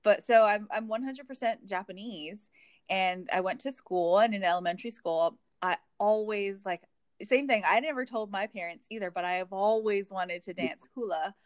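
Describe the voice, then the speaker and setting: A woman who grew up in Hawaii talks through her thoughts in a relaxed conversation. She circles back on her words, with plenty of fillers and restarts. Her voice sits at an ordinary pitch and the recording is close and dry. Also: normal speed, no echo. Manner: reflective, many fillers and restarts. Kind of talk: casual conversation.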